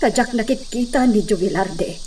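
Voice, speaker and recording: An actress playing the grave, protective mistress of a mansion in an Ilocano radio drama, speaking with weight and authority. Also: female voice, a little high, normal speed, warm tone, a little hiss or hum, lightly acted.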